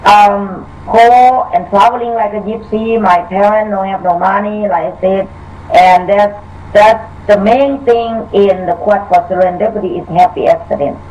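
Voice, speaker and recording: An older woman from Thailand with a Thai accent, answering interview questions in halting, broken English full of fillers. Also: medium pitch, fairly even intonation, a little mellow, recorded from a distance, muffled recording. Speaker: author guest.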